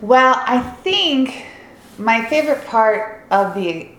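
A woman's voice: a little high, lively intonation, slow, a bit bright. A woman co-hosting a podcast, giving her casual, conversational take on films. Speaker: podcast co-host. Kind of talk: casual conversational movie review. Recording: echoey room.

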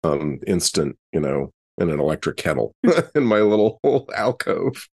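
A man playing a tabletop role-playing game, talking casually in character and haltingly, repeating words as he thinks aloud. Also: low-pitched, sing-song, creaky, darkish tone, husky.

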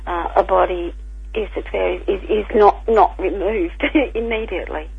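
Woman guest, croaky and raspy, over a tinny phone line; careful, explanatory. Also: medium pitch, measured pace. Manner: careful, explanatory, with small restarts. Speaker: guest scientist and author. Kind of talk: radio interview, explaining.

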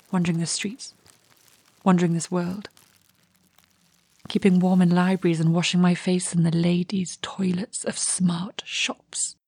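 An actress playing a restless, curious young woman in an audio drama monologue, confiding and wry, with a hushed, husky voice. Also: fairly low pitch, slight background noise. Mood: uneasy, reflective.

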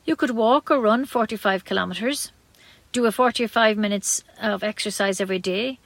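A woman's voice: medium pitch, fairly bright tone, no echo. A woman with an Irish accent reading scripted local news as a community radio newsreader.

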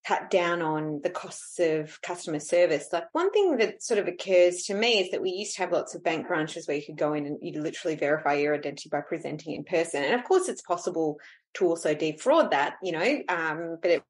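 A woman's voice: low-pitched, expressive, brisk. A woman speaking thoughtfully in a casual panel discussion. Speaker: podcast panelist.

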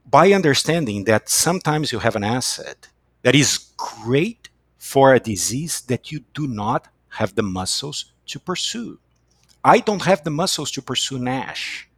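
A man with a Brazilian accent, a company chief executive answering as a podcast guest in a halting, emphatic, repeating way. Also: medium pitch, very animated, breathy edge, no echo, studio quality. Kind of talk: unscripted podcast interview.

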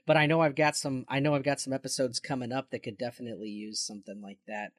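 A man's voice: medium pitch, level tone, quick pace, dry recording, clean studio sound. A man in his forties chatting casually as a podcast co-host, encouraging and supportive toward his friend. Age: 40s.

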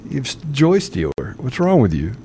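A man's voice: mid-range voice, huge pitch swings, moderate pace, warm tone, a little hiss or hum. A man hosting a podcast with casual, teasing banter and frequent chuckling. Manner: teasing, jokey, often chuckling. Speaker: podcast host.

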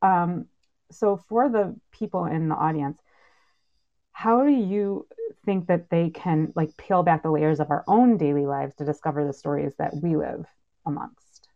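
A woman casually moderating a podcast panel over an online call, with informal, hesitant phrasing. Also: a little slow. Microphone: online call microphone. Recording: muffled low-fidelity online call audio.

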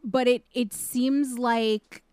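A woman's voice: fairly high pitch, fairly even intonation, takes it slowly, darkish tone, clear, resonant tone, dry recording, clean studio sound. A woman co-hosting a casual movie review podcast, speaking directly and emphatically. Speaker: podcast co-host.

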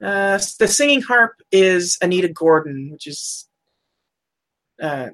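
A woman co-hosting a casual chat about old cartoons, chatty and quick to laugh, looking things up as she talks. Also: lowish voice, animated, a bit bright. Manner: chatty, laughs often, looks things up aloud. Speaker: podcast co-host.

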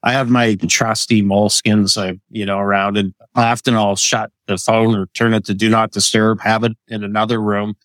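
A man speaking as a guest on a podcast, relaxed and easygoing, laughing at himself as he chats. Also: fairly low pitch, normal speed, few pauses, no echo, low-quality recording. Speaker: podcast guest, business coach. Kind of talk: casual interview chat.

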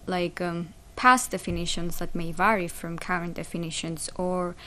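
A woman's voice: lowish voice, fairly bright tone. A woman speaking as an interviewer in an informal, exploratory, conversational way.

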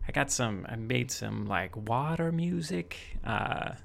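A man chatting softly and casually on a live stream while making music, rambling with lots of fillers. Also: expressive, measured pace, vocal fry. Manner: soft, low-key, rambling with many fillers.

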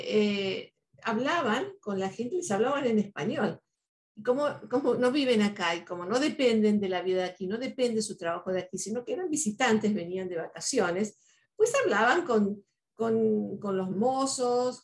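A woman whose first language is Spanish, speaking earnestly in a reflective podcast conversation, often adding "¿no?" and "eh". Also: fairly high pitch. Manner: earnest, speaks with many "¿no?" tags and "eh" fillers.

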